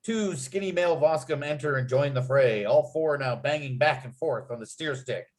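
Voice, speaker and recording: Male script narrator, higher-pitched, punchy read of stage directions and scene headings. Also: normal speed. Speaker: writer narrating a table read.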